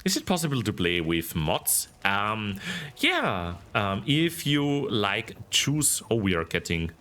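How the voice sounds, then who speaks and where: Deep-voiced, very animated Austrian man. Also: slow. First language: German. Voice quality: deep baritone voice. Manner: giggly, expressive. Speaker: streamer hosting a game stream. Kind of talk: casual livestream chat while gaming.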